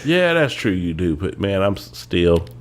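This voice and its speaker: Deep-voiced guy, stuffed up from a cold, laid-back podcast chat with lots of uhs. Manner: relaxed, drawling, with many "uh" pauses. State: congested from a cold. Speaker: podcast co-host. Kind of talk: casual chat.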